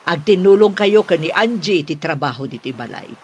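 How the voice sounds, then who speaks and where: A woman's voice: lowish voice, lively intonation, moderate pace, darkish tone, breathy edge, highs cut off. A woman playing a stern, haughty mistress of the house who scolds and gives orders in a radio drama. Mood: stern, demanding.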